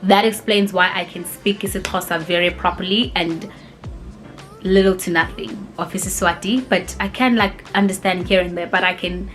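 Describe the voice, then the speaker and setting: A woman's voice: fairly low pitch, moderate pace, soft background music. A woman, chatting casually and rambling as she answers viewer questions, with lots of fillers. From grew up in Cape Town, South Africa. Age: 20s. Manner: rambling and animated, many "like" and "you know" fillers.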